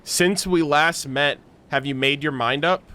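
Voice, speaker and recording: A man's voice: fairly high pitch, measured pace, sharp tone, clean tone. A man streaming a video game, reacting casually with jokes and slang. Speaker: gaming streamer. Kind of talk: casual let's-play commentary.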